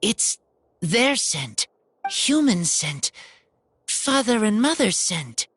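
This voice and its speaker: A woman voicing an earnest wild boy in a video game, with a husky voice and halting, simple phrases. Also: mid-range voice, big pitch swings, a bit bright, a little hiss or hum. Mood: wistful, halting.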